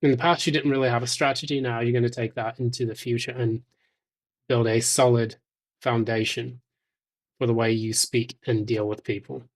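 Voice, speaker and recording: Man, medium pitch, tarot reader, addressing the listener directly, measured, halting, thoughtful pauses. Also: little pitch movement.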